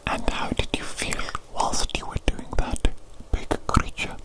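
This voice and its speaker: A woman voicing a doting evil doctor in an ASMR medical roleplay, in a breathy, unvoiced whisper, soothingly repeating short phrases. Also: unhurried, natural voice. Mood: soft, attentive. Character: doting evil doctor examining the creature they created. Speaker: ASMR performer.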